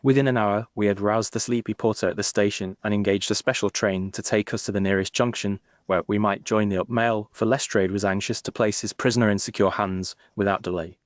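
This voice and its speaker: A British man narrating a Victorian detective story in a formal, measured, literary manner. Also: on the low side, fairly even intonation, no room sound, clean studio sound.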